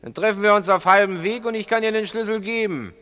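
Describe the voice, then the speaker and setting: A man's voice: high-pitched, fast talker, pushed and bright. An actor playing a condescending burglar who slyly needles his partner, in a 1960s radio play. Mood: coaxing. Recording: 1960s radio drama, reverberant stairwell sound.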